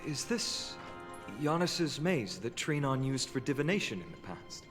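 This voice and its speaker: A man's voice: medium pitch, sing-song, unhurried. A man playing an earnest, courteous young hero in a video game, thoughtful with a dry wit. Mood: curious. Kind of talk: video game character acting. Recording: game music behind the voice.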